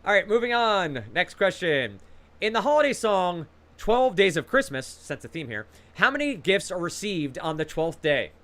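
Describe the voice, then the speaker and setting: A man's voice: high-pitched, big pitch swings, normal speed, fairly bright tone. A man hosting a trivia quiz over a video call, reading out questions with casual, encouraging banter between them.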